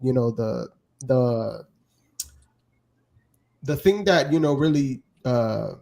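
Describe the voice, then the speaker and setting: A man's voice: medium pitch, slow, vocal fry, darkish tone. Guy hosting a podcast, mid-range voice, rambly questions with lots of um and you know. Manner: rambling, filler-heavy questions. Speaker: podcast host. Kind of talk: casual interview chat.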